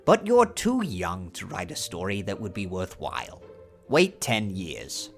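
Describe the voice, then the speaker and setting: A man's voice: sits low, huge pitch swings, creaky, faint music behind, heavily acted. A man playing a blunt older farmer. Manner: blunt, unhurried, reflective. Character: blunt older male farmer neighbor, plainspoken. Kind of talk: audiobook drama reading.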